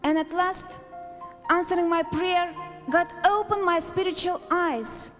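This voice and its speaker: A woman from Azerbaijan giving a prepared testimony to a congregation, speaking deliberately in short, emphatic phrases. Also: extremely high pitch, fairly even intonation, fairly bright tone. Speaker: church member giving a testimony. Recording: echoey hall, long reverb, music.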